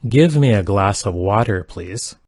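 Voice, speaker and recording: A man reading simple English practice sentences aloud, each one twice, in a careful, even delivery. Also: fairly low pitch, measured pace, no echo, studio quality. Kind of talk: slow repeated sentence reading for learners.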